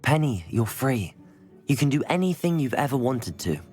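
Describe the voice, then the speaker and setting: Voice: mid-range voice, sing-song, moderate pace, a little mellow, breathy edge, soft background music. An actor playing an earnest, self-assured young student hero in a fantasy video game. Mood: kind. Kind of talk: video game character acting.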